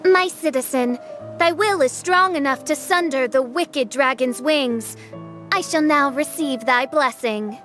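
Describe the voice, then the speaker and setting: A woman's voice: high-pitched, measured pace, a bit bright, soft background music. A woman voicing a haughty young princess in a game, speaking with pompous, grandiose theatrical diction. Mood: regal.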